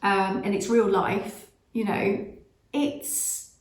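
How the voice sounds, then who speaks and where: A woman's voice: medium pitch, level tone, extremely slow, a little hiss or hum. Chatty English woman in echoey room. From Britain. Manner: chatty, rambling with many fillers. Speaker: host. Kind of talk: casual chat. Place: at home, outdoors in summer.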